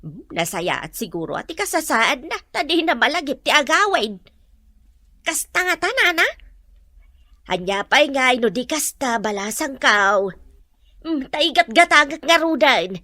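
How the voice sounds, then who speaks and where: An inquisitive village woman in a radio drama, prying and gossipy. Her voice is high and bright, swinging up and down expressively. The recording is dry. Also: very animated, unhurried, dry recording, heavily acted.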